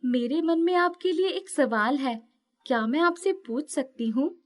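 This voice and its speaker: A woman acts out a young storybook fairy in the guise of a girl. Her voice is very high and lifted, with an eager, friendly, questioning manner and measured pace. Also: animated. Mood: curious. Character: curious young female fairy disguised as a girl.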